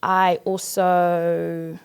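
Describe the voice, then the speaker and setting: A woman in her thirties with a London accent, a worship leader chatting as a guest on a church podcast, expressive and quick to laugh. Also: on the low side, vocal fry, smooth. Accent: London English. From East London, England. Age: 30s. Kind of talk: casual podcast interview.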